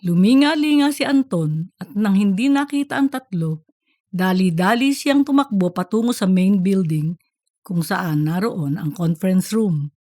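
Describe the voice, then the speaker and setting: A woman's voice: mid-range voice, expressive, unhurried, mellow, no room sound, studio quality. A woman narrating a fictional story from a script, like an audiobook.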